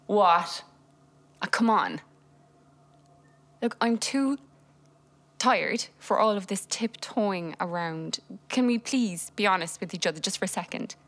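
An actress playing a seriously ill wife and mother who talks in a weary, blunt way that turns forceful and insistent. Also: medium pitch, unhurried, long pauses. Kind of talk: naturalistic screen drama acting.

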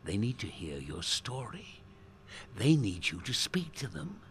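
A man acting a manipulative radio host in a dark audio drama, falsely kind, theatrical and coaxing, with a husky, gravelly voice. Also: on the low side, wildly swooping pitch, unhurried, a little hiss or hum. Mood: coaxing, pressing. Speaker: radio presenter.

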